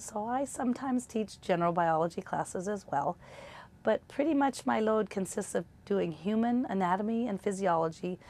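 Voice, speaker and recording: A woman's voice: medium pitch, darkish tone. An older woman, a college instructor, introducing herself and her work informally. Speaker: college biology and anatomy instructor. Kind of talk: informal self-introduction to camera.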